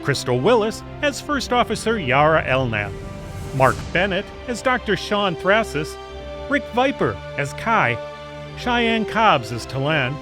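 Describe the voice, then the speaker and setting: A man's voice: sits high, sing-song, unhurried, over music. A man announcing scripted credits for an audio drama.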